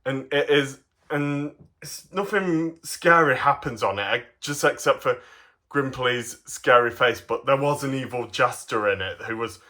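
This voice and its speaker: A man presents his hobby in a casual show-and-tell. He has a mid-pitched voice and talks with enthusiasm, rambling along and halting partway through sentences. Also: pushed and bright. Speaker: hobbyist presenter of custom toy figures.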